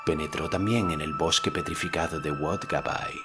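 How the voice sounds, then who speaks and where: A man narrating an audiobook in a measured, expressive way. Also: a bass voice, loud music behind the voice. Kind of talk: audiobook narration with character voices.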